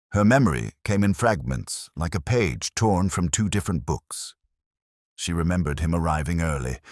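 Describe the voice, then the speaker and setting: A man playing a brooding English police detective, narrating a mystery drama in a measured, literary and melancholy way. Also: deep voice, no room sound, clean studio sound. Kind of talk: scripted first-person mystery narration.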